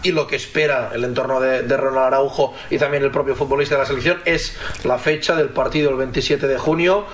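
A man giving a clear news report on a football player for a radio show. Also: medium pitch, steady tone, brisk, few pauses, slight background noise. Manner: clear, informative.

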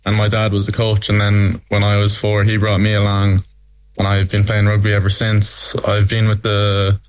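A young man with a mixed Irish accent, speaking low and almost monotone. He answers questions about himself easily and without fuss. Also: clean tone, poor audio. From Belgium. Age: young adult. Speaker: guest, rugby player.

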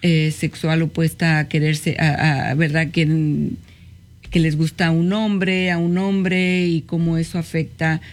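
A woman's voice: low-pitched, flat delivery, a little slow. A woman hosting a community radio talk show, thanking callers and guests, often adding "¿verdad?" as she speaks. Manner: warm thanks, frequent "¿verdad?" tags.

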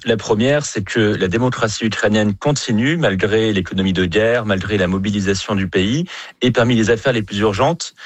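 The lowish-voiced professor from France, with the accent to match, that a news programme calls up for analysis, heard clean and dry. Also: male voice, no echo. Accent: French from France. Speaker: geopolitics professor, expert guest. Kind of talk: analytical interview answers.

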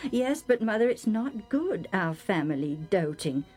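A woman's voice: medium pitch, very animated, measured pace, darkish tone, husky, soft background music, pitched above the performer's own voice. A woman storyteller voicing an earnest, questioning and calculating teenage son in a Bible story. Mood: earnest doubt.

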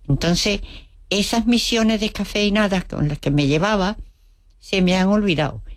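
A woman's voice: on the low side, gravelly, no room sound, clean studio sound. An older woman with an Andalusian Spanish accent, Russian by mother tongue, telling her memories as an interview guest with wry humor and acted-out quotes. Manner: vivid storyteller, acts out quotes, wry humor. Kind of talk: storytelling reminiscence.

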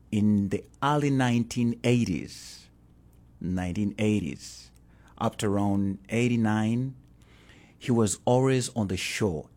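A middle-aged pastor with a Ugandan accent, speaking in an earnest, repetitive way as an interview guest. His voice sits low and sounds husky. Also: darkish tone. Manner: earnest, repeats himself.

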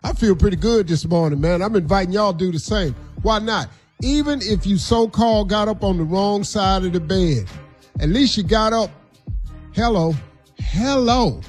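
A man's voice: high voice, expressive, moderate pace, darkish tone, soft background music. A man hosting a morning radio show, urging listeners to be grateful and calling them "man". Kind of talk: casual radio talk.

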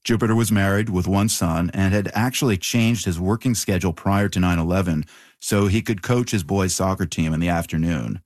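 A man's voice: low voice, fairly quick, darkish tone, dry recording, studio quality. A man hosting and narrating a news documentary from a prepared script. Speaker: radio documentary host.

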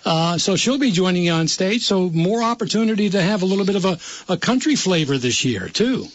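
A man with a notably high voice hosts a live radio interview. He rambles and trips over his words, repeating himself before each thought lands. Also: animated, fairly quick. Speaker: radio host.

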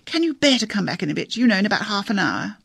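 A woman's voice: medium pitch, very animated, fast talker, vocal fry, fairly bright tone, husky, dry recording, voice pushed up, heavily acted. A woman reader voicing a flustered young secretary with a gaspy, exaggeratedly grand accent.

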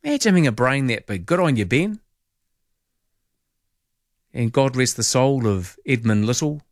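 A talkative New Zealand man hosts an overnight talkback show. His voice sits at an ordinary middle pitch and carries plenty of quick asides and jokes. The studio sound is close and dry, with no room in it. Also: expressive, no room sound. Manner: chatty, jokey, thinks aloud with quick asides. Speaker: talkback radio host. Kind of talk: late-night talkback radio chat. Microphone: studio microphone.

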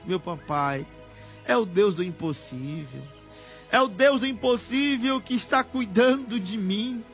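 A Brazilian man leads a fervent broadcast prayer in a very high-pitched voice. He pleads slowly and emotionally, often swelling toward a shout, with music playing underneath. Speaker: preacher leading prayer on a broadcast. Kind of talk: fervent extemporaneous Pentecostal prayer. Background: background music.

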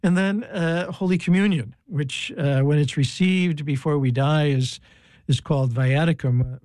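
An older man, a priest as a guest on a talk show, explaining church teaching in a halting, gentle way with many pauses. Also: fairly high pitch, no echo.

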